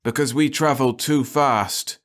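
A man's voice: mid-range voice, fairly even intonation, measured pace, pitched above the performer's own voice, lightly acted. Man putting on a bitter, despairing starship passenger. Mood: flat insistence. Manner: loud, raised, anguished outbursts.

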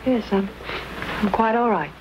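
A woman's voice: medium pitch, moderate pace, natural voice. An actress playing a proud, prim young frontier lady with a clipped manner in an old television western. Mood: shaken. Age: young adult. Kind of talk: 1950s television western acting. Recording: dull, noisy vintage TV film soundtrack.

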